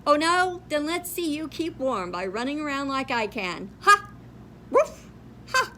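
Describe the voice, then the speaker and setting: A woman's voice: high voice, big pitch swings, unhurried, sharp tone, a little hiss or hum, pitched above the performer's own voice, heavily acted. A woman voicing a mocking dog that barks and taunts a tortoise. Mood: taunting. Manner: taunting, jeering.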